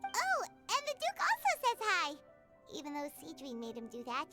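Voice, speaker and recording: A woman voicing a small, childlike fairy companion in a video game, squeaky, excitable and bluntly curious. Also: moderate pace. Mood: blunt, chirpy. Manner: excitable, refers to self by name. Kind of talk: animated video game voice acting. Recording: game music behind the voice.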